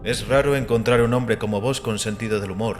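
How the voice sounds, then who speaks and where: A man playing a calm, dangerous martial stranger, terse and measured, scornful of priests, in an audio drama. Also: lowish voice, long reverb tail, soft background music, lightly acted. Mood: quiet scorn. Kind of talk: audiobook character acting.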